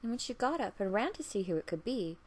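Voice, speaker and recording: A woman storyteller reading a fairy tale aloud in a measured, expressive way. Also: medium pitch. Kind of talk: scripted fairy-tale narration.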